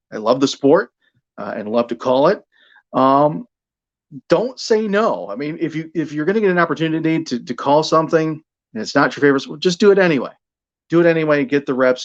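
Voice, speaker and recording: A man's voice: medium pitch, muffled recording. A middle-aged American man, a broadcaster from Buffalo, talking informally and earnestly as an interview guest. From Buffalo, United States. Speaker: sports broadcaster and news anchor, interview guest. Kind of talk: casual conversational interview.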